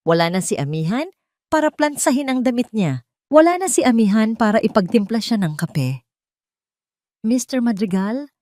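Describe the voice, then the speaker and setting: A woman narrating a scripted audio drama in a steady, measured storytelling manner. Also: fairly high pitch, no echo, studio quality.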